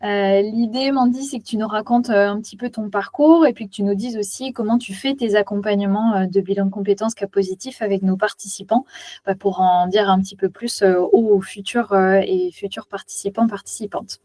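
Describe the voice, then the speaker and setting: A woman's voice: medium pitch, steady tone, brisk, warm tone, dry recording. A woman interviewing a coach, asking friendly questions with plenty of fillers.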